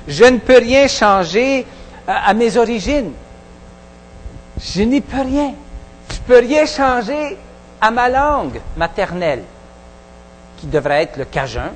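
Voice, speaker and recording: Pasteur québécois hésitant, voix aiguë. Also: male voice, high-pitched, big-room sound, a little hiss or hum, poor audio. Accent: Québécois French. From Quebec. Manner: halting, many hesitations and restarts. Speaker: pastor. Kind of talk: sermon. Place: church hall.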